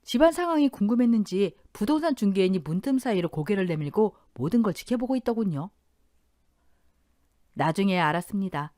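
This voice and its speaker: Intense, lively woman storyteller. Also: mid-range voice, fairly quick, no room sound, clean studio sound. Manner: intense, emotional first-person telling. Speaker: narrator of a first-person story. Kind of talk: dramatic audio-drama storytelling narration.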